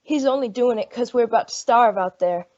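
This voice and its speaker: A woman's voice: a little high, fairly quick, darkish tone, close to an everyday voice. A woman playing an anxious, humble frontier mother in an old-time radio western, earnest and pleading in a rustic way. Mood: worried, pleading. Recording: dull lo-fi radio drama recording.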